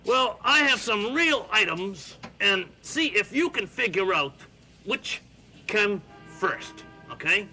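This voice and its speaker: A cranky male monster from a children's puppet show, gravelly and gruff. His voice sits high and swoops widely, with a sharp, piercing edge. He talks in a bossy, dismissive way. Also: very animated, takes it slowly, soft background music, exaggerated voice, low-quality recording. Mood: grumpy.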